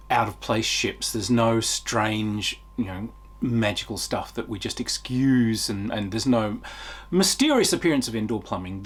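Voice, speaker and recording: A man's voice: lowish voice, breathy edge. A middle-aged man giving a casual, unscripted video review in an enthusiastic, emphatic way, as a tabletop game reviewer.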